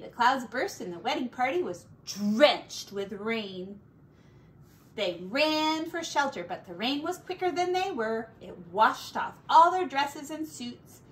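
A middle-aged woman reading a picture book aloud to children like a teacher, with deliberate, drawn-out words and little asides. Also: high voice, fairly bright tone, low-quality recording. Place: small furnished room at home.

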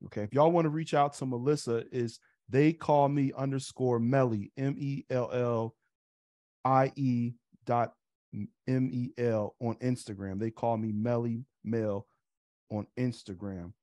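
A man's voice: medium pitch, steady tone, unhurried, mellow, no echo. A man in his thirties hosting an online meetup from a room at home, talking in a casual, easygoing way with restarts and uhs. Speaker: host.

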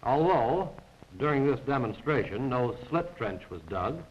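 A male narrator delivers scripted military instruction in a formal, precise way. His medium-pitched voice moves with lively intonation. The sound is dull and limited, like a 1940s film soundtrack. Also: unhurried, slight background noise. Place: voice-over recorded apart from the pictures.

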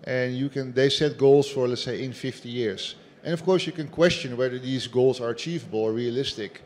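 A man on a seminar panel in a large indoor hall speaks for the construction industry. His voice sits at an average pitch, and he argues his points in a measured, emphatic way. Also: darkish tone. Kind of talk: panel discussion.

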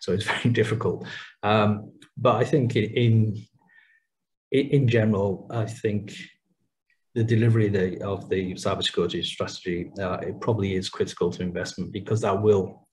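A man's voice: lowish voice, fairly even intonation, moderate pace, darkish tone, slight background noise, poor audio. A middle-aged man speaking as a panelist on an online video call, rambling loosely with many fillers. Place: small room indoors.

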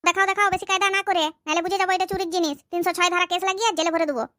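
A performer with a very high voice playing a bossy police officer in a cartoon, scolding and demanding papers. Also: fairly even intonation, sharp tone, dry recording. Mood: threatening. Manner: brisk, scolding. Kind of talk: cartoon comedy dialogue.

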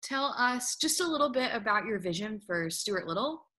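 A young woman hosts a friendly interview for a theater's education program, speaking from her kitchen over a video call. She keeps things upbeat and encouraging. Her voice is bright and clear. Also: on the high side, animated, normal speed, poor audio. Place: home kitchen. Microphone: video call laptop mic.

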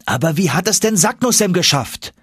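A man voicing an eager, hot-headed young nephew in an audiobook, excited and exclamatory. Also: high-pitched, moderate pace, breathy edge, dry recording, clean studio sound, voice pushed up, exaggerated voice. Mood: agitated.

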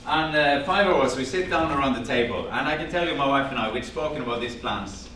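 A man's voice: mid-range voice, quick pace. A man in his fifties with a Norwegian accent, animatedly telling a personal story to a small audience and quoting family conversations. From Norway. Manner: animated storyteller, quotes family dialogue, gestures while talking. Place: classroom-sized meeting room with projector screen. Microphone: far camera, no visible mic. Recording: echoey room from far off, background hum.

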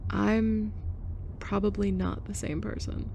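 A young woman in a scripted roleplay monologue, abrasive and sarcastic, with awkward soft laughs and sighs. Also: medium pitch, creaky, slight background noise. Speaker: ASMR roleplay performer.

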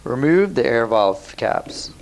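A man in his thirties giving calm step-by-step product instructions on a lapel mic, recorded in a bare indoor room. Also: mid-range voice, slow pace, a little hiss or hum. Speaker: product demonstrator. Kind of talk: scripted instructional voice-over.

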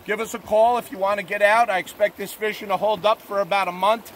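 High-voiced man reporting from a river boat. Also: poor audio. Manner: relaxed, plainspoken, filler-heavy. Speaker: fishing guide. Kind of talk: on-the-water fishing report. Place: outdoors on a drift boat on a river. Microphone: camera mounted on the boat at arm's length. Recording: faint water and outdoor noise. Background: rushing river water.

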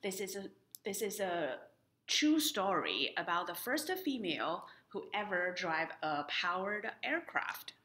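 A young woman reading a children's picture book aloud in an expressive, deliberate storytelling style, adding short explanatory asides. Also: fairly low pitch. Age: young adult. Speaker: library storytime reader. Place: indoors in front of a painted woodland mural.

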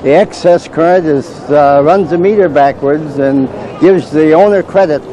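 An older man who builds wind turbines, calmly explaining how they work to people at an outdoor fair, with plenty of uh's. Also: a little high, normal speed, some chatter behind. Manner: plain, matter-of-fact explaining with frequent uh. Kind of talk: explaining to fairgoers. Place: outdoor fairground. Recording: noisy outdoor camcorder audio.

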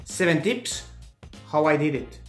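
A young man from Estonia with an Estonian accent, giving earnest, deliberate advice in a scripted vlog, talking with his hands. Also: on the high side, animated, echoey room, soft background music. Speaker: vlogger.

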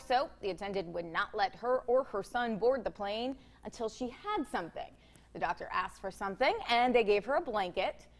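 Chatty American woman hosting a talk show. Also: fairly high pitch. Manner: chatty, jumps between half-finished thoughts. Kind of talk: casual co-host banter and topic reading. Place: TV studio.

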